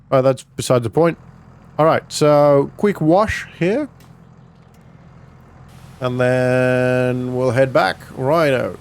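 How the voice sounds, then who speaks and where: A man's voice: mid-range voice, a little mellow. A man doing casual, chatty roleplay vlog commentary over a farming video game, thinking aloud in an informal way. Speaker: gaming vlogger and host.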